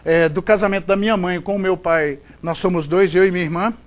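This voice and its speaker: Goiás-accented guy, middle-aged, high voice, chatty and rambling with lots of restarts. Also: steady tone, fairly quick. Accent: Goiás Brazilian Portuguese. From Goiás, Brazil. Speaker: sports broadcaster, interview guest. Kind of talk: conversational interview answers.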